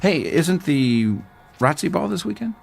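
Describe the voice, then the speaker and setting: An actor playing a cocky, easygoing young college student in an audio drama, talking in a casual, teasing way. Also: male voice, a little high, big pitch swings, unhurried, creaky, heavily acted. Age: young adult.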